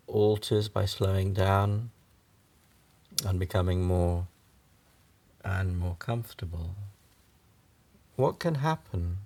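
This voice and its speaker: A man leading a guided relaxation meditation, speaking in gentle, reassuring, halting phrases with long pauses between them. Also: sits low, gravelly, breathy edge. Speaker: yoga nidra meditation guide.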